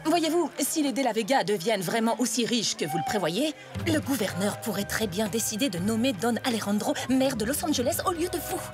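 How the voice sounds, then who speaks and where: Woman playing haughty high-voiced cartoon noblewoman. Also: expressive, fairly quick, natural voice. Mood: demanding, indignant. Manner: affected, imperious. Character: haughty ambitious noblewoman, demanding manipulative wife. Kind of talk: animated cartoon dubbing. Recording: music behind the voice.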